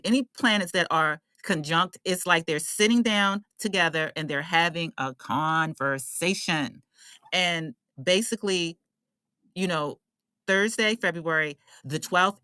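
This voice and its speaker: A woman's voice: deep voice, sharp tone, no echo, clean studio sound. A woman giving a casual astrology forecast as an online host, talking in an emphatic, conversational way with slang and rhetorical questions.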